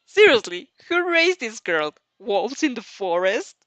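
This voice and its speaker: A woman's voice: fairly high pitch, big pitch swings, measured pace, fairly bright tone, no echo, highs cut off. A woman voicing a cocky male anime villain who is easily amused, with a mocking, teasing drawl.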